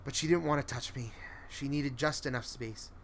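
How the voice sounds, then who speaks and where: A man's voice: mid-range voice, normal speed. A man tells a horror story in the first person with a mid-range voice. His delivery is brooding and emotive, and noticeable ambient noise sits behind him. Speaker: narrator.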